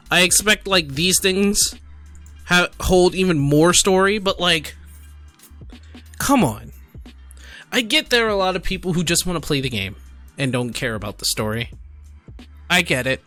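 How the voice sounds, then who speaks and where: Male gaming streamer, bright forceful tone, very lively pitch, casual exasperated commentary. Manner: exasperated, incredulous, emphatic with repetition. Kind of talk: casual commentary reading forum posts aloud.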